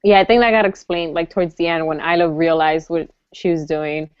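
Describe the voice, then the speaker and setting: A twenty-two-year-old woman chatting excitedly and giggling as a guest in an online book club. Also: low-pitched, fairly quick, smooth, dry recording. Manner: giggly, enthusiastic. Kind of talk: casual livestream chat. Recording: dry dull lo-fi webcam audio.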